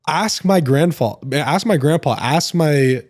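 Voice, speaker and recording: A young man in a podcast chat, telling a long story in a rambling, animated way with lots of filler words. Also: fairly high pitch, slow, no room sound, studio quality. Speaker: podcast guest. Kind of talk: casual storytelling.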